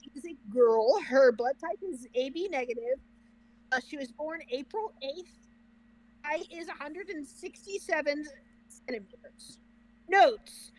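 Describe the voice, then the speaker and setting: A woman with a high-pitched voice talks as a reaction co-host. Her delivery is casual and conversational, with dry, joking remarks. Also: measured pace, low-quality recording.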